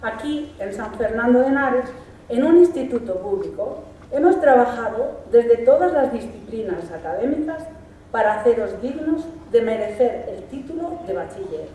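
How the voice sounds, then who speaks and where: Spanish teacher lady reading her graduation speech, mellow voice, big echoey hall, mic far off. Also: on the high side, lively intonation, slight background noise. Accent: Spanish from Spain. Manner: measured, emphatic delivery with lists. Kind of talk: formal ceremonial speech read from a lectern. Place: large hall stage. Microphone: stand mic at a lectern. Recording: echoey hall, recorded from afar.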